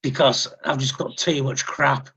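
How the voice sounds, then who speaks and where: A man from England chatting wryly on a casual panel and pitching his own project. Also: flat delivery, measured pace, vocal fry, fairly bright tone. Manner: wry, teasing, self-promoting. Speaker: panel host, comic artist.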